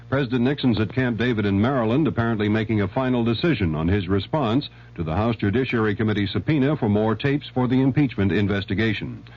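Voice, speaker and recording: A man reading a 1970s radio news bulletin in a husky voice. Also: lowish voice. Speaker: radio newsreader. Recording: dull old radio recording.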